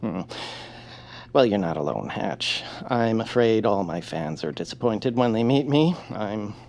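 A man's voice: fairly low pitch, expressive, unhurried, gravelly, darkish tone. An actor playing a fussy, washed-up male bird who once voiced a radio hero, talking in a rambling, flustered, theatrical way. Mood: sheepish. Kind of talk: audio drama acting.

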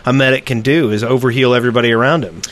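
A man co-hosting a casual gaming podcast, speaking haltingly and often repeating his words. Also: mid-range voice, fairly even intonation. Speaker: podcast co-host.

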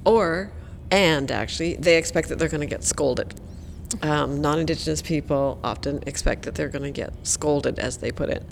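Woman educator talking straight up and emphatic, lowish voice, faint rumble in the background. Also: normal speed, gravelly. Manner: frank, emphatic. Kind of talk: interview answer.

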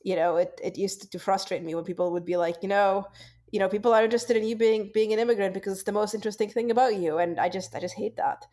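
A woman's voice: medium pitch, fairly even intonation, quick pace, dark tone. A woman from Russia with a Russian accent, talking in a casual discussion and often restarting her sentences as she thinks. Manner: thoughtful, with frequent restarts and repeated words.

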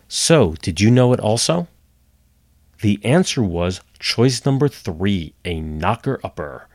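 Deep-voiced podcast guy, a bit nasal from a cold, dry wry asides, home mic. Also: a little mellow, no echo. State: getting over a cold. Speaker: podcast host. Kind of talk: storytelling history podcast. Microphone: home computer setup.

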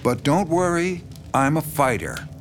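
An actor playing an assured, persuasive horror writer in an audio drama, coaxing in a controlled, deliberate manner. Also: male voice, fairly high pitch, sing-song. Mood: measured reading. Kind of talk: naturalistic audio drama acting. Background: music behind the voice.

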